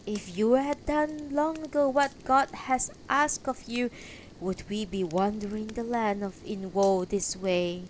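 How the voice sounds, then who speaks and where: A woman's voice: medium pitch, unhurried, slight background noise, lightly acted. A woman voicing one of a band of jeering, accusing ghostly widows in rags, with a parched, taunting delivery in a storybook reading. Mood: accusing, scornful. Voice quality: raspy, parched. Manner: taunting, lamenting, declamatory.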